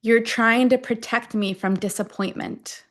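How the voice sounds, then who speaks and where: A woman leading a guided affirmation meditation, speaking deliberately in short phrases with long pauses between them. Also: a little high, fairly even intonation. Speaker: guide of a self-affirmation tapping session.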